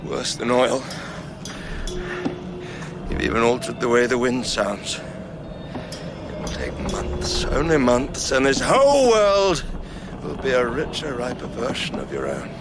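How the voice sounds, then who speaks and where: A man's voice: medium pitch, big pitch swings, unhurried, over music. An actor in a radio drama playing a despairing, haunted male survivor of an alien invasion, with an anguished, stammering, theatrical delivery. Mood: bleak, brooding. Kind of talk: dramatic radio play acting.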